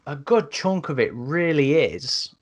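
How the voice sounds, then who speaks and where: A man's voice: fairly high pitch, expressive, unhurried. A man speaking casually as a panelist in a podcast discussion.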